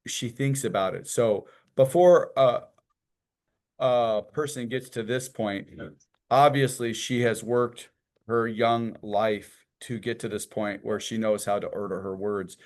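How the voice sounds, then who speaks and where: A man's voice: medium pitch, fairly even intonation, unhurried, a little mellow. A man teaching an informal church class, talking in a folksy, conversational way and acting out little quotes and images as he goes.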